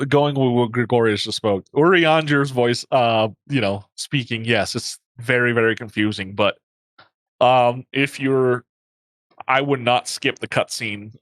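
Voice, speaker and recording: A man's voice: mid-range voice, fairly bright tone, no echo. Man with a dry sound. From Puerto Rico. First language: Spanish. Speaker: guest gamer. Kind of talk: casual chat on a stream.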